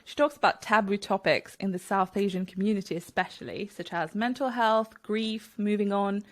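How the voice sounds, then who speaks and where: A woman's voice: medium pitch, normal speed. A woman hosting a podcast, reading an intro and then chatting with a guest in a friendly, upbeat way. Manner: friendly and upbeat, laughs easily. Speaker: podcast host. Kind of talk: casual interview chat.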